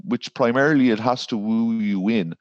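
A man's voice: mid-range voice, normal speed, dry recording, lo-fi sound. An Irish man, a garden designer, talking in a podcast interview and rambling with fillers and restarts. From Ireland.

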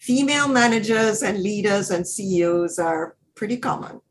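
A middle-aged woman from Jamaica speaks as a podcast guest, confident and animated as she tells her story. Her pitch sits in the middle. A faint hiss runs under the voice. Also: moderate pace. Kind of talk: podcast interview.

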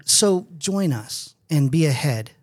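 A man's voice: on the high side, expressive, takes it slowly, darkish tone, husky, dry recording, clean studio sound. A man in his fifties co-hosting a podcast in casual comedic chat, opinionated, rambling and freely swearing. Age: 50s. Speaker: podcast co-host.

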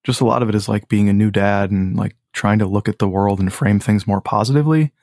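A man with a low voice, a musician guest talking in a laid-back, rambling way with many fillers. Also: quick pace, darkish tone, dry recording, studio quality. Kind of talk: casual podcast chat.

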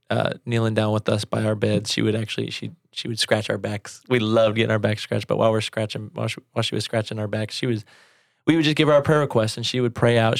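Man in his thirties, church show co-host, casual storyteller, medium pitch, dry close sound. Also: vocal fry, dry recording.

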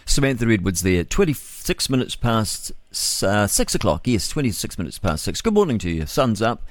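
A man's voice: on the low side, sing-song, normal speed, no room sound. A man with a New Zealand accent hosting breakfast talk radio, rambling and opinionated as he comments on the news, with many fillers and self-corrections. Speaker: radio host.

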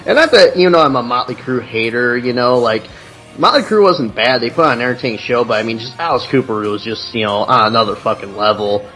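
A man from the Chicago area talks through a long story, swearing freely and drifting from point to point. His pitch sits in an ordinary middle range, and faint music plays under the conversation. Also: animated, fast talker, pushed and bright, low-quality recording. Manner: profane, rambling storytelling. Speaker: podcast co-host. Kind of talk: casual chat.

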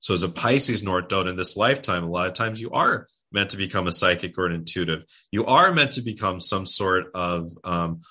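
Tired male guest, low-ish bright voice, casual rambling delivery with fillers. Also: lively intonation, a little fast, few pauses, breathy edge. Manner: rambling, restarts words, many fillers. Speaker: spiritual guest speaker. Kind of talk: casual livestream talk.

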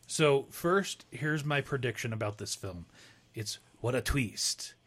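A man guesting on a casual panel podcast, tossing out dry, wry predictions about a film. His voice is bright and forceful at a middle pitch. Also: animated, measured pace. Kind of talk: casual chat.

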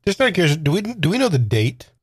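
A man's voice: on the high side, very animated, rapid-fire, husky, no echo, studio quality. A man playing a tabletop roleplaying game, speaking casually in and out of character.

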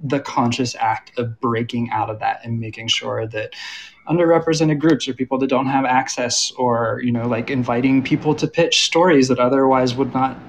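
Creaky-voiced man moderating a panel. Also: mid-range voice, fairly even intonation, moderate pace, poor audio. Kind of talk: moderating a live panel discussion.

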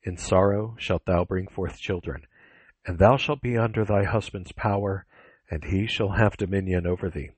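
Man as a deep-voiced, stern God. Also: fairly even intonation, slightly rough, no room sound. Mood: solemn judgement. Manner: solemn, deliberate, authoritative. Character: stern, commanding God passing judgement.

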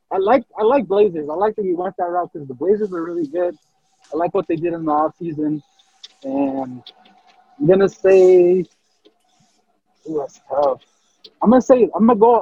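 Casting: husky, gravelly man, high pitch, fast animated restarts on a dull lo-fi recording. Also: no room sound. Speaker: podcast co-host. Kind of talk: casual sports chat.

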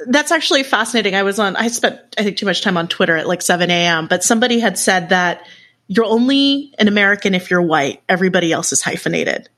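Fast-talking joking woman. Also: mid-range voice, expressive, fairly bright tone. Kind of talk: casual discussion.

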